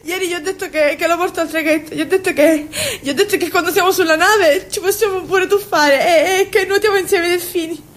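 A woman's voice: very high-pitched, steady tone, quick pace, few pauses. An actress playing a naive, devoted Sicilian woman in an emotional stage monologue, with a Sicilian accent and a repetitive, childlike delivery. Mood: tearful, hopeful. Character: naive, devoted Sicilian woman, fiercely attached to her cousin.

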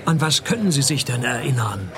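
An actor playing a jaded private detective in a radio play, with a dry, sardonic, deadpan delivery. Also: male voice, mid-range voice, brisk, a crowd in the background, natural voice. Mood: probing. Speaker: detective narrator and lead. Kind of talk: radio play narration and dialogue.

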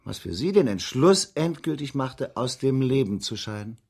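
An actor in a German radio drama playing a police inspector who probes a suspect with short, prompting questions in a husky voice. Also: male voice, medium pitch, very animated, normal speed, a little mellow, heavily acted. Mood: dry, insistent.

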